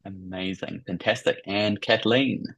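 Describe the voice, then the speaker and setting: A middle-aged man with a New Zealand accent, warmly hosting an online workshop over a video call with effusive welcomes. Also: fairly low pitch, steady tone, unhurried, creaky, husky, no room sound. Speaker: business coach and workshop host.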